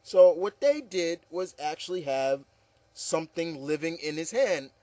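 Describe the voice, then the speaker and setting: Male commentator, lighter pitch, dry recording. Casual and opinionated, repeats himself, talks straight to the listener. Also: animated, a little slow, warm tone, no echo. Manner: rambling, repeats phrases. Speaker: video commentator.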